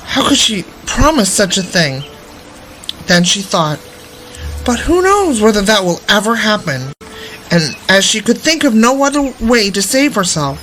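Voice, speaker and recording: A woman's voice: mid-range voice, a bit bright, rough recording. A woman storyteller reading a fairy tale aloud expressively. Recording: music behind the voice. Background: background music.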